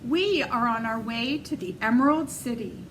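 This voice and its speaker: A woman voicing a timid, kind young girl in a storybook read-aloud, soft and earnest. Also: on the high side, normal speed, slight background noise, close to an everyday voice. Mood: earnest, friendly.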